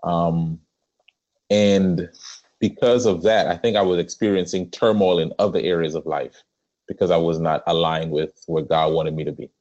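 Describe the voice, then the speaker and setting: A man with a Trinidadian accent, a pastor telling his life story as a podcast guest, with many pauses. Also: low voice, steady tone, darkish tone, muffled recording. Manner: earnest storytelling with frequent "um" pauses. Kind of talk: conversational interview.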